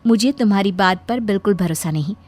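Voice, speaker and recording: Woman acting guarded young dancing girl, mid-pitched. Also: fairly quick, darkish tone, close to an everyday voice. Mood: skeptical, wry. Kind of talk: cartoon moral-story voice acting. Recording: background music under the voice.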